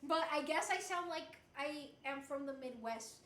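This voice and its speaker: A woman livestreamer with a very high, bright voice talks casually to her chat in an echoey room. Her delivery is playful and expressive, with a slight Puerto Rican accent from her native Spanish. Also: moderate pace. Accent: slight Puerto Rican, Americanized. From Puerto Rico. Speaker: livestreamer reading and answering chat.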